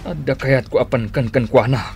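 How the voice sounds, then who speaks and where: A man's voice: medium pitch, moderate pace, gravelly, over music, close to an everyday voice. An actor playing a watchful, plotting man in an Ilocano radio drama, talking to himself. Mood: anxious, resolute.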